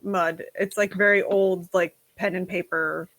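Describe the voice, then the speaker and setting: A woman's voice: fairly low pitch, a little slow, dry recording. Outspoken woman guest, dry sound. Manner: frank, outspoken and animated, swears casually. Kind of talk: casual chat on a stream.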